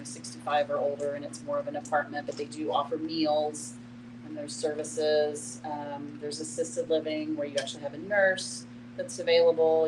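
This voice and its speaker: Low-voiced woman explaining, noisy recording. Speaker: host and discussion facilitator. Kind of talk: informal explaining in a group discussion. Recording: clear background noise and hum.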